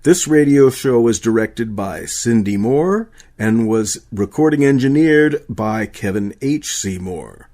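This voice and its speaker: A male radio announcer with a medium-pitched voice. He delivers scripted credits and notices as the show's presenter. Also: animated, slow pace, hardly any pauses, mellow.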